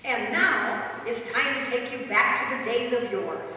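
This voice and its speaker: A woman's voice: a little high, unhurried, pushed and bright, slight background noise. A woman playing an eager, naive announcer in a scripted comic radio double act, introducing the play. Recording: echoey hall, far from the mic.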